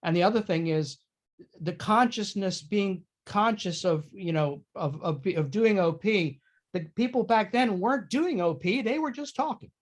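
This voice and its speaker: A man's voice: high-pitched, normal speed. A man talking casually as a guest in a panel discussion about his craft. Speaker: panel guest, accent-certified performer.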